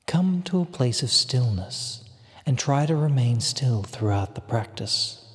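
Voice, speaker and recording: A man narrating a guided relaxation in a hushed, soothing, measured way, giving gentle instructions with breathy phrase endings. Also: medium pitch. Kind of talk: slow guided relaxation script. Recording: long reverb on the voice.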